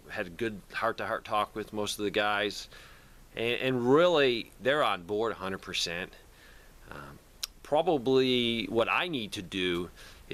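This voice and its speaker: A man's voice: on the low side, pushed and bright. A man talking casually to camera in a plainspoken, rambling way, like a video host showing his gear. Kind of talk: casual product talk to camera.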